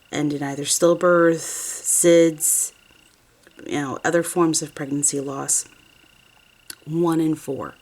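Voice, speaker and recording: A low-pitched woman in her thirties talks through a nail tutorial, sniffly from a head cold. She chats casually, wanders off track and corrects herself as she goes. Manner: chatty, rambling, self-correcting. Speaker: nail art vlogger. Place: home desk, nail station. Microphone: overhead camera, hands only.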